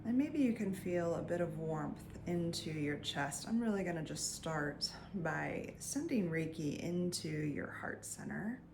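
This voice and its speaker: A woman leading a guided meditation in a soft, soothing voice, leaving long pauses and sometimes trailing near a whisper. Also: fairly low pitch, animated, gravelly, background noise. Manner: soothing, unhurried, with long pauses between phrases. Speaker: meditation guide and energy healer.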